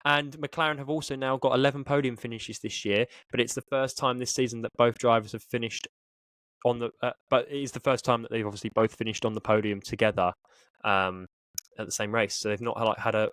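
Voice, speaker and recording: A man's voice: mid-range voice, little pitch movement, a little fast, a bit bright, clear, resonant tone, no echo. A man co-hosting a sports podcast in a casual, chatty style. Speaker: podcast co-host.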